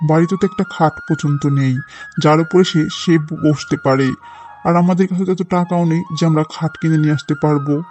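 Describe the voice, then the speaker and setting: A man voicing a gentle, poor, worried village father in a cartoon moral story, explaining things plaintively and deliberately. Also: on the high side, natural voice.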